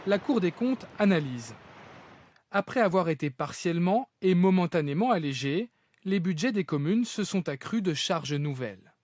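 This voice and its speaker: A man's voice: sits high, a little mellow. A man narrating a scripted television news report as its reporter.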